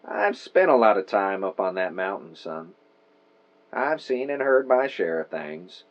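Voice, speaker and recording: A man's voice: on the low side, sing-song, a little slow, lo-fi sound. An actor playing an old small-town storekeeper in an audio drama, wry, friendly and folksy in his phrasing. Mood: grave, wistful. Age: older.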